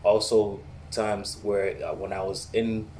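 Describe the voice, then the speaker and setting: A man in his thirties from the Boston area, a police officer answering interview questions in a measured, steady way with frequent ums. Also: fairly low pitch, slight background noise, low-quality recording. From United States, Boston area.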